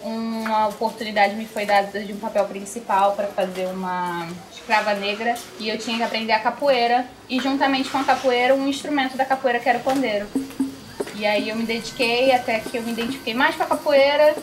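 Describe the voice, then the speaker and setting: A woman's voice: a little high, steady tone, fairly quick, background noise. A young woman, telling her own story conversationally in an interview. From Brazil, Rio de Janeiro. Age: young adult. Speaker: capoeira practitioner interviewee.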